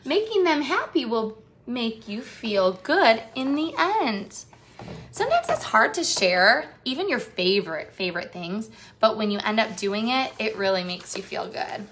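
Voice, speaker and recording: A woman with a bright, fairly high voice hosts a read-aloud lesson for young children. She speaks straight to her young audience in an encouraging way, asking questions and stretching out the storybook phrases expressively. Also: sing-song, unhurried, slight background noise. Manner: encouraging, addresses young viewers directly with questions. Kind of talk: picture-book read-aloud and talk to children.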